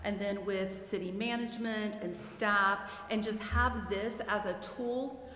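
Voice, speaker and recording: A woman giving a careful, deliberate and formal explanation as a legal advisor at a public council meeting. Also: medium pitch, steady tone, fairly bright tone. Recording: echoey hall, clear background noise.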